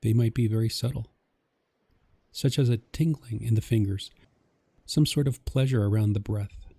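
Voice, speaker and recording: A man narrating a guided meditation in a gentle, calming, measured way, with a soft voice. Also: lowish voice, slightly rough, no echo.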